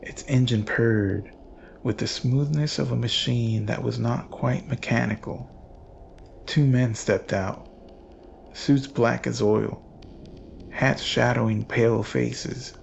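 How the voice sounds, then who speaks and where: A man reading scripted horror narration in a grave, measured, ominous manner. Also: medium pitch, fairly even intonation, creaky, highs cut off, a little hiss or hum.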